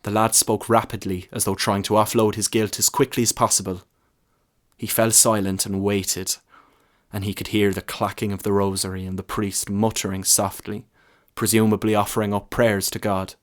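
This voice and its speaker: A man's voice: lowish voice, flat delivery, moderate pace. A man reading a short story as narrator, in scripted narration.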